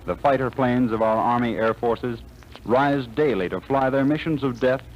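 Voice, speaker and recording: A man's voice: mid-range voice, normal speed, muffled recording. Dramatic old-time radio narrator, man. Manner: dramatic, announcer-like.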